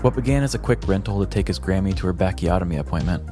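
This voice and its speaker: A man's voice: deep voice, expressive, quick pace, music in the background. A man co-hosting a comedy podcast, moving between casual banter and scripted humorous storytelling.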